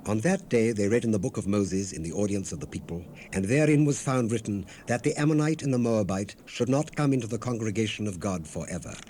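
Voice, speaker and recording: A man with a low voice reads scripture as a solemn narrator, formal and measured. His tone is dark and soft-edged, and each phrase settles downward at its close. It comes from an old cassette with light hiss. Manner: measured, formal, phrases falling away at the end. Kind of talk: solemn dramatized Bible reading. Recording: hissy 1970s cassette rip.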